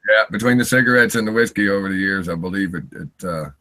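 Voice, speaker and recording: A raspy-voiced man of fifty, a singer rambling candidly in a live interview and laughing often. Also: low voice, quick pace, bright, forceful tone. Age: 50s.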